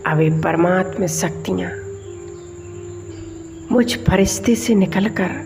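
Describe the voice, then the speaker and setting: Female meditation guide: slightly low, creaky voice, measured short phrases with long pauses. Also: over music. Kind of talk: slow guided meditation commentary.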